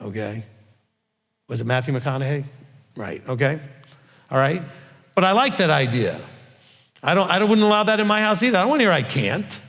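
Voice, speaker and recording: A man's voice: a little high, sing-song, normal speed, pauses often, a bit bright, big-room sound. A middle-aged man giving an informal Bible teaching, emphatic and often checking in with "okay?". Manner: emphatic, repeats "okay?" and "all right?".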